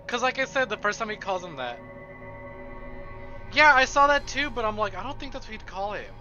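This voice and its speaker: A male streamer with a very bright, sharp and animated voice, pitched a bit high. He sounds expressive and informal on slightly dull livestream audio with faint music behind. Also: fairly quick. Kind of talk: casual livestream chat and reading visual novel narration aloud. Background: game music.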